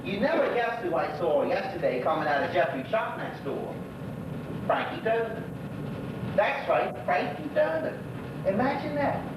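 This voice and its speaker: A man acting an echoey, high-voiced old Bermudian gossip. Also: big pitch swings, normal speed, muffled recording. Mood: gossipy, chatty. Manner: folksy, rambling storytelling. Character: lonely, gossipy old Bermudian widower, stiff and reminiscing. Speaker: actor in a one-act monologue. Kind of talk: stage monologue told as neighbourly chat. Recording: echoey hall from far off, noisy, rumbling.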